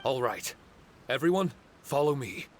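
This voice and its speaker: A man voicing an earnest spirit commander in a video game, measured and formal in manner. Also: medium pitch, very animated, background noise. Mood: matter-of-fact. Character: earnest male spirit commander with patchy memory. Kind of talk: video game cutscene acting. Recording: game music behind the voice.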